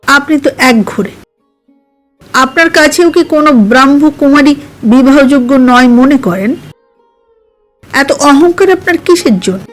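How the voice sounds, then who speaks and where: A woman's voice: high voice, animated, unhurried, pauses often, poor audio. A woman voicing a proud young woman who hides her love, wounded and reproachful, in an audio storybook. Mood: hurt, indignant. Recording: music under the voice.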